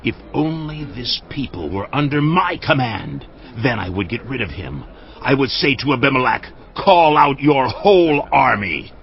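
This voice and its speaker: An actor playing a boastful, scornful rebel clan leader, declaiming in a dramatised audio Bible. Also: male voice, mid-range voice, big pitch swings, measured pace, vocal fry. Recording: muffled, band-limited audio with faint music.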